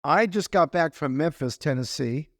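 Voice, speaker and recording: A man's voice: on the high side, lively intonation, moderate pace, a bit bright, no echo, studio quality. A man co-hosting a podcast in casual chat, easygoing and a bit rambling. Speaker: podcast co-host.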